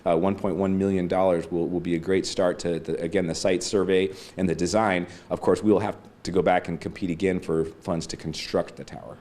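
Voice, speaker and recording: A man's voice: low voice, level tone, fairly quick, few pauses, darkish tone. A man speaking as an airport official, giving explanatory answers in an interview.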